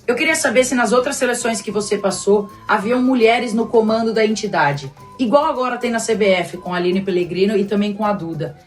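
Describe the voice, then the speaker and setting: A woman's voice: mid-range voice, fast, hardly any pauses, faint music behind. A young woman presenter recording a friendly video question for a guest. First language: Portuguese. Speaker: host sending video question.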